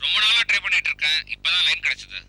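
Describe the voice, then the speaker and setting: An actor playing an earnest film director and husband in a TV serial. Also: male voice, a little high, sing-song, racing, gravelly, bright, forceful tone, no echo, exaggerated voice, poor audio. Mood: anxious, polite. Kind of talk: Tamil TV serial acting. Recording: music over the dialogue.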